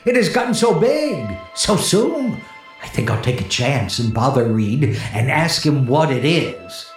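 An actor playing a frightened, lonely disc jockey in 1940s radio horror, stammering nervously and rambling to himself. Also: male voice, mid-range voice, sing-song, measured pace. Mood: anxious. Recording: echoey vintage 1940s radio recording with music.

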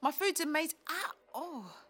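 A woman's voice: high-pitched, big pitch swings, normal speed, fairly bright tone. A woman playing an anxious, snappy pregnant young woman in labour in a modern audio play, gasping and groaning between phrases. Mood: bashful, relieved. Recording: music bed under the dialogue.

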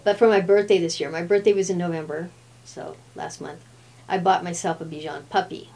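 A chatty woman in her fifties with a fairly low voice. She grew up in Brazil and speaks Portuguese as her first language, so she sounds like a relaxed guest at ease in a casual talk. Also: brisk. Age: 50s. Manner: chatty, laughs often. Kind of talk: casual chat.

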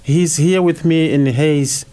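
A middle-aged priest from Zimbabwe whose first language is Shona speaks in a fairly high voice for a man. He answers in a thoughtful, halting way, full of uhs and restarts. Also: fairly even intonation, warm tone, clear, resonant tone. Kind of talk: radio interview answers.

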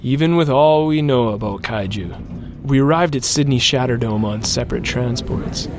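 A man playing a mech pilot and sole survivor, narrating his account in a measured first-person audio drama style. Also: mid-range voice, slight background noise, lightly acted. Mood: grim, reflective.

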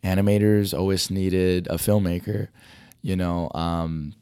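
Middle-aged male guest, low dark voice, hoarse and fading, talks in loose rambling answers. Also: fairly even intonation, a little slow, dry recording, clean studio sound. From Hawaii. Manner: rambling, many fillers and restarts. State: losing his voice. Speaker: motion design educator. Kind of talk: conversational interview answers.

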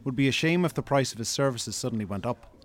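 A man acting in a radio drama as a stern Irishman, confrontational and deliberate, with cold threats. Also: mid-range voice, expressive, natural voice. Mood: coolly threatening. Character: stern, menacing Irish brother, coolly threatening.

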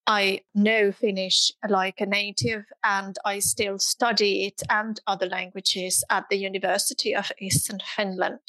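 A woman whose first language is Russian, a language teacher hosting a podcast who introduces the episode and asks questions in a clear, deliberate way. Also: mid-range voice, level tone, pushed and bright, no room sound, low-quality recording.

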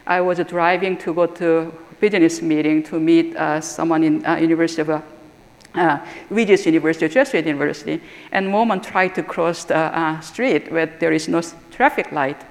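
A middle-aged woman from Korea with a Korean accent, a theological school dean giving an earnest, reflective talk and often pausing with "uh". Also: sits low. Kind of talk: personal talk or lecture. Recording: echoey hall with long reverb.

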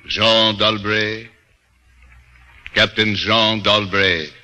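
A man's voice: lowish voice, steady tone, extremely slow, over loud music. A man playing a stern, solemn Spanish Grand Inquisitor in a 1940s radio drama, speaking with commanding, paternal formality.

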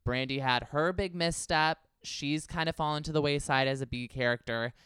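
A man's voice: on the high side, moderate pace, fairly bright tone, clear, resonant tone, no room sound, clean studio sound. A man co-hosting a podcast, giving gossipy, analytical takes in casual chat. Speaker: podcast co-host. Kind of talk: casual chat about reality TV.

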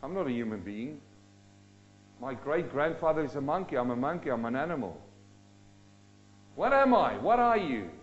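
A man from South Africa preaching a sermon, swinging between loud rising questions and low, slow emphatic drops. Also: very animated, lo-fi sound. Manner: dynamic, rising and dropping sharply for emphasis.

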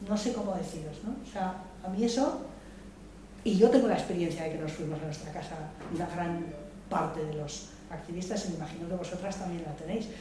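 A woman's voice: fairly low pitch, brisk, mellow. An older woman from Madrid speaking at a public debate, reflective and hesitant, with many restarts and repeated words. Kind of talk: spontaneous political talk at a public debate. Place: large hall, public debate session. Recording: echoey room, voice from far off, faint noise.

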